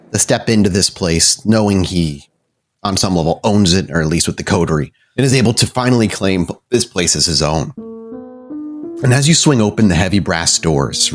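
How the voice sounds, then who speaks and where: A man working as game master, improvising descriptive, cinematic narration for a tabletop game and feeling out his words aloud. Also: deep voice.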